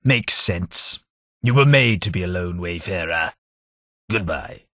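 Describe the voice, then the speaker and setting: An actor playing a polite, reserved former office clerk in a game, speaking with formal, measured, slightly wistful courtesy. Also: male voice, low voice, expressive, a bit bright, no echo, studio quality, heavily acted. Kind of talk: game character dialogue.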